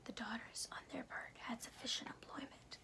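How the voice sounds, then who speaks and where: A woman reading a storybook aloud in a soft, breathy ASMR whisper, phrasing slowly and deliberately with careful pauses. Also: a little high, fairly even intonation.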